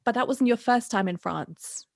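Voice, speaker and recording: A woman's voice: on the high side, normal speed, gravelly, darkish tone, no echo. German podcast host woman, dry close sound. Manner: enthusiastic and encouraging, lots of backchannel. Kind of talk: casual interview chat.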